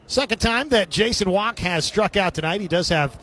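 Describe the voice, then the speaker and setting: High-pitched, bright male baseball announcer. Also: expressive, normal speed. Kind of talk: live radio sports commentary.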